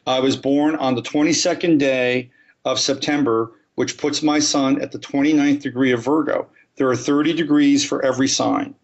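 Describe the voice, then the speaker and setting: Man, astrologer guest, methodical explainer, mid pitch, muffled lo-fi sound. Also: steady tone, moderate pace, creaky. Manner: methodical, talks through examples step by step. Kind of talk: explaining in a podcast interview.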